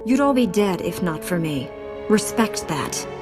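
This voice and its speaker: Commanding warrior in long reverb. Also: female voice, lowish voice, big pitch swings, a little slow, over music. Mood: firm, commanding. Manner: firm, curt, imperious. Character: hard, commanding young female warrior knight. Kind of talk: dramatic video game acting.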